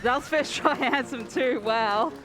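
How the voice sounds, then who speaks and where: A woman's voice: high-pitched, unhurried, music in the background. A woman with an Australian accent chatting casually after finishing a speedrun, hesitant and full of "um" and "like". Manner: hesitant, many "um" and "like" fillers. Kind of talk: casual post-run chat.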